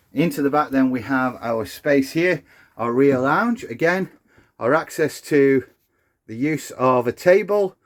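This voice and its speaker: A man with a mid-pitched voice presents a practical walkthrough. He talks methodically, setting out each step as he demonstrates it, in the unscripted manner of an instructional video. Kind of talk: hands-on demonstration, unscripted explanation.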